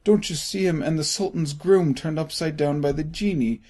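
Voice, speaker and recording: A man voicing an old miser caught between laughing and crying, excited and tearful. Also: mid-range voice, normal speed, creaky, husky.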